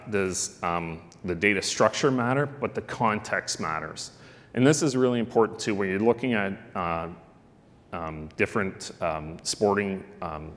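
A middle-aged man presents at a conference lectern in a very low voice, speaking conversationally and pausing on ums and uhs. His voice rings out with a long reverb through a large hall. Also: fairly bright tone. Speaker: keynote speaker on sports analytics. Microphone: lectern microphone.

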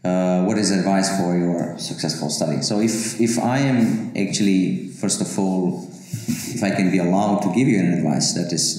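A man's voice: low-pitched, creaky, warm tone. A Bosnian-born man in his forties, a university professor with Bosnian as his first language, answering interview questions to camera with many fillers and restarts. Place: office with bookshelves, indoors. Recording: very echoey office room.

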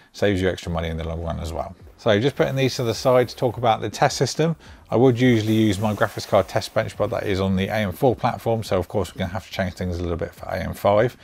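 Fast-talking gravelly young man reviewing tech. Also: fairly low pitch, darkish tone, no echo. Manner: brisk, chatty, spec-heavy explaining. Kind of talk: product review to camera. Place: indoor home studio with shelves.

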